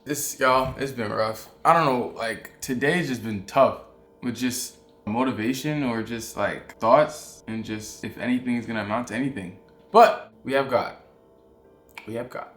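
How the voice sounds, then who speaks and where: A young man vlogging in a soft, reflective, rambling way, with trailing fillers. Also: mid-range voice, unhurried. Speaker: content creator. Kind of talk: casual vlog talk.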